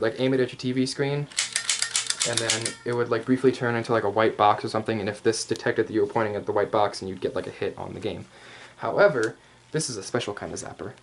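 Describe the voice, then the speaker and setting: Male, rambling ASMR roleplay host, lower-pitched than nobody in particular, mid-range voice, jokey and sweary, noisy background. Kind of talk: improvised ASMR roleplay, casual show-and-tell.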